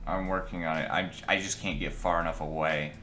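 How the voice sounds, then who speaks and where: A man with a very low voice co-hosts a casual gaming chat. He is laid-back and swears mildly, with faint music playing behind him. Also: steady tone, brisk, bright, forceful tone, roomy sound. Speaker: co-host of a gaming chat show. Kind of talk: casual chat while playing a video game.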